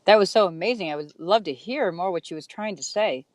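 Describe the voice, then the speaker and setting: A woman's voice: on the low side, a little fast, darkish tone, no echo. Easygoing woman co-host, dry sound. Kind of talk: casual chat. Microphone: video call.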